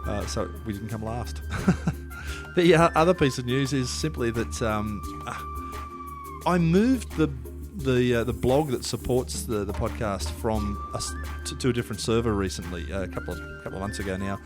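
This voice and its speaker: A man's voice: mid-range voice, expressive, moderate pace, over music. A man hosting a podcast interview in a loose, rambling way, full of fillers and restarts. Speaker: podcast host.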